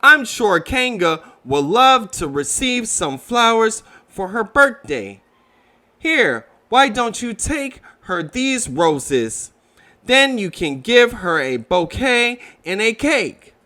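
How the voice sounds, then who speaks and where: A man's voice: high voice, very animated, takes it slowly, pushed and bright, voice pushed up, full character voice. A man voicing a kind, patient young boy in a children's storybook. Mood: kind, reassuring. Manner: kindly, reassuring.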